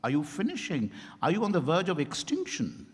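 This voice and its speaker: Male actor as courteous probing scholar, very animated intonation, deliberate questioning, reverberant hall, stage reading. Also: a little high, breathy edge, exaggerated voice. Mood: insistent. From India. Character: probing, courteous, insistent doctor of law. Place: event hall at a hotel. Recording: echoey hall with long reverb.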